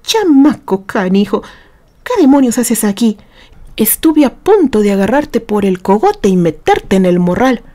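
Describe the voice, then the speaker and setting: A woman voicing a folksy, gruff but kindhearted man who scolds children tenderly in an audio story. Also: fairly high pitch, big pitch swings, a little slow, warm tone. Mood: gruff, scolding. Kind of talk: audio story character acting.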